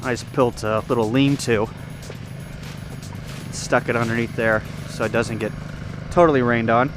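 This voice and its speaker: A man's voice: mid-range voice, fairly even intonation, normal speed, over music. A man giving an informal, unscripted walkthrough of a camp setup outdoors, explaining things and thinking aloud as he goes. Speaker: ham radio hobbyist giving a video tour. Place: outdoor campsite.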